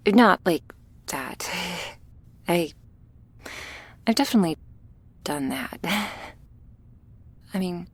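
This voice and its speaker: A woman playing a shy, awkward young female vampire in an intimate ASMR roleplay, speaking softly and hesitantly, often close to a whisper. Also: on the low side, creaky, heavily acted. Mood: flustered, bashful. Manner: hesitant, halting, soft, often near a whisper.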